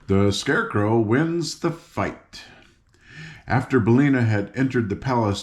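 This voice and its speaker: A man's voice: lowish voice, a little mellow. Older man reading a storybook aloud. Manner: folksy, informal, stumbles over words. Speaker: audiobook reader. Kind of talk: read-aloud storybook narration with chatty asides.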